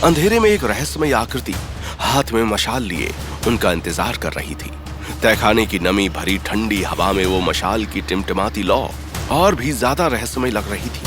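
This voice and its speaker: A man's voice: fairly low pitch, lively intonation, normal speed, music in the background. A man narrating a scripted audio story in a suspenseful, theatrical style.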